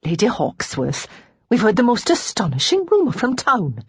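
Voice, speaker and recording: A woman's voice: medium pitch, huge pitch swings, normal speed, breathy edge, dry recording, pitched above the performer's own voice, exaggerated voice. A woman voicing a kindly, gossipy but respectful female cook in an audiobook. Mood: curious, familiar. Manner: familiar, gossipy yet respectful. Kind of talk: audiobook character dialogue.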